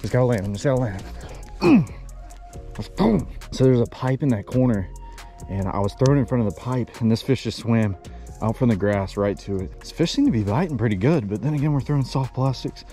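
A man's voice: medium pitch, a little fast, dark tone, soft background music. A man competing in a casual fishing video, talking in hyped slang with short repeated exclamations. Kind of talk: casual outdoor vlog chat.

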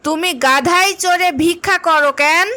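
A woman playing a scornful, sharp-tongued householder in a funny cartoon, scolding and dismissive. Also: extremely high pitch, fairly even intonation, moderate pace, a little hiss or hum. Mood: challenging.

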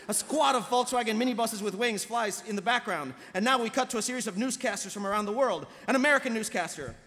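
A man's voice: high-pitched, quick pace, bright, forceful tone. A man reading stage directions as narrator of a live script table read. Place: panel table in large convention hall. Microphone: table microphone. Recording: echoey convention hall.

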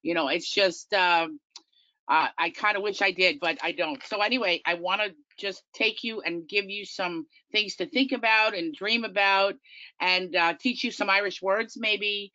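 A woman's voice: mid-range voice, moderate pace, sharp tone, no echo, highs cut off. A middle-aged woman with a Long Island accent, presenting a tour company's slideshow in a chatty, enthusiastic way. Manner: chatty, enthusiastic, self-deprecating. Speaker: tour company business development manager.

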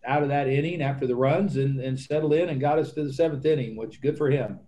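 A man in his sixties, a baseball manager answering questions at a press conference, halting and repeating words, speaking into a desk microphone. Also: mid-range voice, dark tone, highs cut off. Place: press conference room with backdrop.

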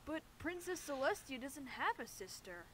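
A woman's voice: high-pitched, big pitch swings, moderate pace, fairly bright tone, a little hiss or hum, rough recording. A woman voicing a cheeky little male baby dragon in a cartoon, childlike and matter-of-fact. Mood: doubtful. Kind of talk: animated fan-series dialogue.

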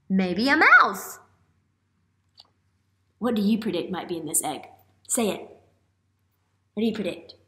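Animated woman teacher reading to children. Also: medium pitch. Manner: animated, questioning, drawn-out emphasis for children. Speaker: elementary school teacher. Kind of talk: read-aloud picture book lesson for young children. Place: small classroom-like room, indoors. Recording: roomy indoor echo.

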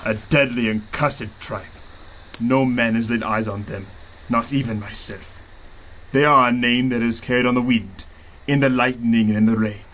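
A man's voice: mid-range voice, steady tone, fairly bright tone, a little hiss or hum, natural voice, low-quality recording. A man playing a grave jungle shaman. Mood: grave warning. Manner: solemn, warning, slow deliberate delivery. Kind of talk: radio serial acting.

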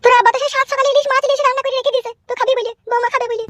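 Woman acting nagging village mother, very high-pitched, quick, nosy, comedy cartoon, music behind. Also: flat delivery, a bit bright, no room sound. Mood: scolding, nosy. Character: nagging, inquisitive village mother of a grown son. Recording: music under the voice.

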